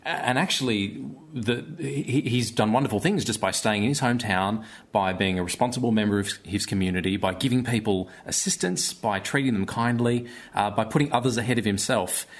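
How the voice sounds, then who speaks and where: A man's voice: on the low side, a little fast, hardly any pauses. An Australian man speaking conversationally as an interview guest, earnest and hesitant as he searches for his words. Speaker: guest, theatre maker and actor.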